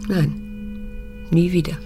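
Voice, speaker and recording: Weary, low-voiced middle-aged daughter. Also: female voice, level tone, slow, mellow, soft background music. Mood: weary, restrained. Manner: restrained, terse, pained. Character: weary, burned-out daughter confronting her guilty old mother. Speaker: actor and narrator in a radio drama. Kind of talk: radio drama acting with inner monologue.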